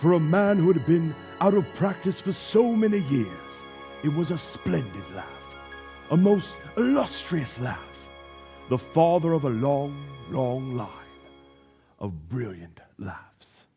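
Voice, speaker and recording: A grand storybook narrator, played by a man relishes the tale in a live radio play. The delivery is slow and very animated in pitch, with a soft, mellow tone and theatrical emphasis. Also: soft background music, heavily acted. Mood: grand, amused. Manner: dramatic, savouring descriptive phrases. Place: theatre stage before an audience.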